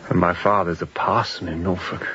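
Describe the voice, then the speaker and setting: An actor playing an exhausted, intense, unrepentant fugitive chief mate in a radio drama, speaking in clipped, grim sentences. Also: male voice, deep voice, fairly even intonation, moderate pace, pushed and bright, highs cut off. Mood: bitter, intense. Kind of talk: radio drama acting, confessional storytelling.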